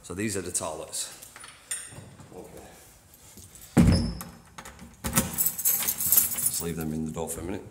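A man who cleans a train station, giving a casual walking tour on his phone, chatty and rambling, with a deep voice. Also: fairly even intonation, slow, long pauses, very noisy. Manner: chatty, rambling, says "you know what I mean". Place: old train station toilets and platforms at night. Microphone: handheld phone.

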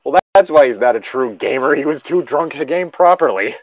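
A man's voice: mid-range voice, animated, fairly quick, no room sound, highs cut off. A man giving casual, sweary and sardonic commentary as he reads and reacts to a story. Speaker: host reading and reacting to a horror story.

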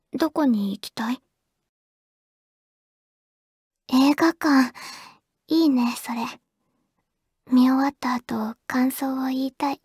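A shy teenage anime girl murmurs close and dry into the phone to the childhood friend she likes. Her high voice is very breathy and soft, and she goes slowly, hesitating and stammering bashfully. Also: dry recording, full character voice. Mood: bashfully pleased. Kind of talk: anime audio drama, intimate ASMR phone-call acting.

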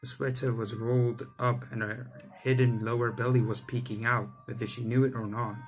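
A man's voice: medium pitch, flat delivery, moderate pace. Young man reading a visual novel, music behind. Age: young adult. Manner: stumbles over text and rereads lines. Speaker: gaming video host. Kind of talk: reading visual novel aloud with casual commentary. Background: game music.

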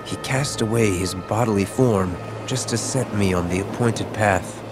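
A cold, composed young male villain from a video game, played by an actor, low-pitched and solemn. He speaks in slow, cryptic, measured phrases over clear background music. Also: natural voice. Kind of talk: video game cutscene acting.

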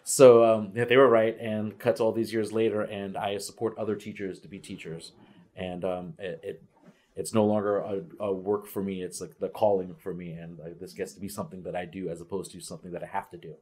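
A man's voice: low voice, level tone, brisk, darkish tone, breathy edge. A middle-aged man who coaches ethnic studies teachers, speaking earnestly at a panel table in a lecture room, with frequent restarts and fillers. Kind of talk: panel talk to students. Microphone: far camera.

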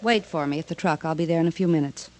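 Actress as coy, flirtatious socialite. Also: female voice, deep voice, fast talker, darkish tone, a little hiss or hum, natural voice. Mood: pleading, firm. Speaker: actress in a radio detective drama. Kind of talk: 1950s radio drama acting. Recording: old radio broadcast recording.

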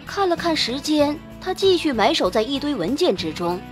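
A woman's voice: high-pitched, big pitch swings, moderate pace, soft background music. A woman narrating a novel in a scripted, dramatic audiobook style.

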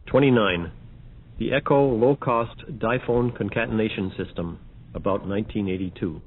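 A man reading scripted announcements for an audio demonstration in a measured, matter-of-fact way. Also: lowish voice, husky. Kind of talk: scripted announcing of numbered examples. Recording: muffled, phone-like archival recording.

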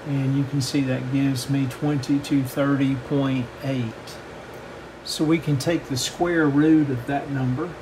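A middle-aged man giving a hands-on technical demonstration in a measured, matter-of-fact, step-by-step manner. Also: mid-range voice. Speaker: host of a radio repair channel. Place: indoor workbench. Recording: steady air-conditioning-like background hum.

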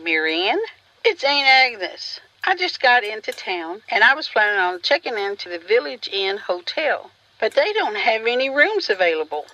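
A woman acts a kindly aunt in a radio drama. Her voice is bright and somewhat high, with very animated delivery. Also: moderate pace, dry recording, dull audio. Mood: concerned. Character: kindly aunt arriving from out of town.

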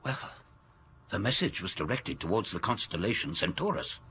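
A man's voice: low-pitched, big pitch swings, pushed and bright, slight background noise, full character voice. An actor playing a learned, earnest male space scientist in a science-fiction radio drama, explaining things patiently and precisely. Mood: grave. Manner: measured, precise, lecturing.

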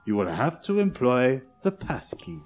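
Casting: self-assured Belgian sleuth, French-speaking accent, courtly and precise, lively swooping delivery, old radio mystery. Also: male voice, mid-range voice, measured pace. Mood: suave. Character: polite, shrewd, self-assured Belgian male detective. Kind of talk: 1940s radio drama acting. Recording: old radio drama with music.

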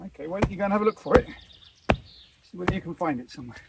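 A man's voice: sits high, lively intonation, rapid-fire, gravelly, recorded from a distance. A middle-aged man chatting casually and encouragingly while he works outdoors in a garden, picked up by a camera a few metres away. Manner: patient, encouraging. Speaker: father doing garden work, vlogger. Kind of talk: casual chat while working. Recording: outdoor noise, off-mic, handling clicks.

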